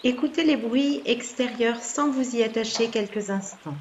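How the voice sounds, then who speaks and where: Calm woman guiding relaxation, muffled recording. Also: fairly high pitch, a little hiss or hum. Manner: calm, soothing, unhurried with long pauses. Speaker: yoga nidra instructor. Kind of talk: guided relaxation meditation, soft instructions.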